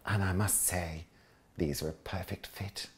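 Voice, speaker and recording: A man's voice: deep voice, fairly even intonation, a little slow. A man voicing an easygoing, amused male hyena who dotes on his mate. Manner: amused, incredulous.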